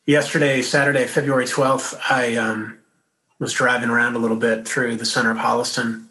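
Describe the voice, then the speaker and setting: A male minister delivers his sermon in an easy, conversational way, as if among friends. His voice has a bright, forward ring. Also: mid-range voice, flat delivery, a little fast, low-quality recording.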